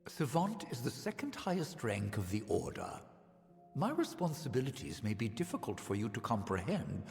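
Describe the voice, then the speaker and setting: A man's voice: medium pitch, wildly swooping pitch, moderate pace, vocal fry, darkish tone. A man voicing a haughty senior scholar of magic in a fantasy game, pompous and condescending, with dry, precise wording. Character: haughty male senior scholar of an arcane order. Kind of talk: scripted game dialogue. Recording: long hall reverb, background music.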